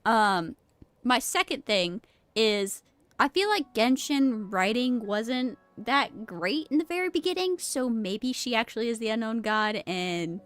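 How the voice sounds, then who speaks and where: A woman's voice: fairly high pitch, expressive, measured pace, fairly bright tone, no room sound. A woman who is a little sick with a cold, chatting casually as a game streamer, rambling, restarting her sentences and easily amused. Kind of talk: casual live game stream commentary, reading dialogue aloud. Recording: game music behind the voice. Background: game music.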